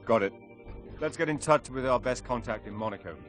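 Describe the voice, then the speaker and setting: An actor playing a cool British secret agent in a video game, terse, dry-witted and commanding. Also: male voice, mid-range voice, fairly quick, fairly bright tone, soft background music, close to an everyday voice. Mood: businesslike. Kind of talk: video game cutscene acting.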